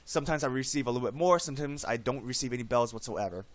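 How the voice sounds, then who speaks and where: A man recovering from a cold, his voice slightly hoarse, chatting casually through a screen-recorded game tutorial and tagging "right?" onto his sentences. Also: mid-range voice, expressive, very fast, no room sound. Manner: chatty, frequent "right?" tag questions. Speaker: game guide YouTuber.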